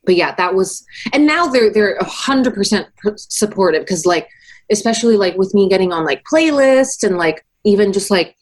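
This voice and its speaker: A young woman in her twenties, born in Taiwan, speaks as a musician guest in a relaxed interview. Her voice sits in an ordinary middle pitch, and her speech is loose and rambling, full of fillers and easy laughter. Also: moderate pace. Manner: chatty, many fillers, laughs often. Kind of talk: casual interview chat.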